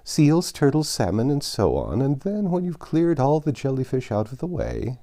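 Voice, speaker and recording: A man voicing a melancholy, wistful turtle creature from a storybook, with emphatic, drawn-out delivery. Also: mid-range voice, sing-song, mellow, voice pushed up. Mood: excited. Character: melancholy, wistful old turtle creature, excitable when reminiscing. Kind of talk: storybook character reading.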